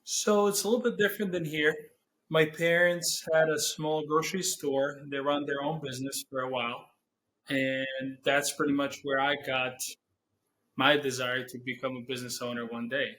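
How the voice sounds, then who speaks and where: A young man from Serbia with a Serbian accent, answering interview questions in English as a non-native speaker, pausing to search for words. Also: mid-range voice, flat delivery, a bit bright, slight background noise, rough recording. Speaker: guest, business owner.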